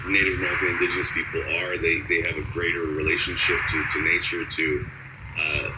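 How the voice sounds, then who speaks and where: Casting: low-pitched male voice actor, chatty interview storytelling, very noisy recording. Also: flat delivery, brisk, distant mic. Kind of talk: casual interview chat. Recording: loud ambient background noise.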